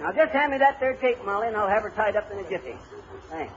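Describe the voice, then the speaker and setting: An American actor playing a boastful, bumbling husband with folksy, cocky patter in an old radio comedy. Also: male voice, sits high, lively intonation, quick pace. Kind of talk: 1930s-40s radio comedy acting. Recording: dull, noisy old radio recording.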